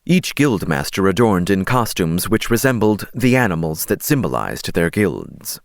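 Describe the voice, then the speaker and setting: A man's voice: low voice, sing-song, normal speed, no room sound, studio quality. A man narrating an audiobook story in a dramatic, scripted reading style.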